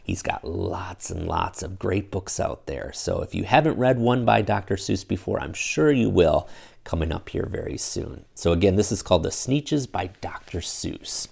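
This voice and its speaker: Middle-aged man, storytime reader, animated pitch, gravelly edge, expressive, explains as he goes. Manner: expressive, pausing to explain the story to young listeners. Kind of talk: read-aloud of a children's picture book.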